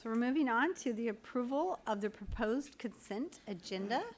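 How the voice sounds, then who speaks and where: A woman chairing a school board meeting, reading formal procedure in a measured, official manner. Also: fairly high pitch, animated, a little hiss or hum.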